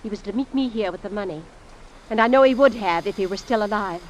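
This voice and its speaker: An actress playing a distraught, accusing American wife, dramatic and insistent, in old-time radio drama style. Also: female voice, mid-range voice, quick pace, close to an everyday voice. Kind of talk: 1940s-50s radio drama acting. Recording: noisy vintage radio recording.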